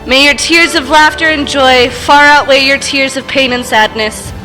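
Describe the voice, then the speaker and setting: A woman giving a prepared, heartfelt wedding toast as a sister, in an earnest, measured way, at a reception. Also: high voice, steady tone, bright, forceful tone, soft background music, low-quality recording. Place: wedding reception, speaking to guests.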